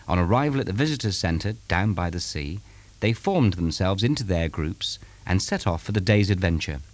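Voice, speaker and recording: A man reading a scripted story aloud in a suspenseful, deliberate, dramatic storytelling manner. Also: on the low side, a little mellow. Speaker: story reader.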